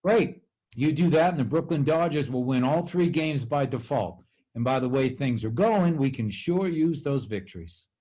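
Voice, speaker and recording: A man's voice: mid-range voice, animated, moderate pace, warm tone, husky, low-quality recording. A man playing a dry, unyielding baseball executive in a dramatic script reading. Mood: dry, defiant.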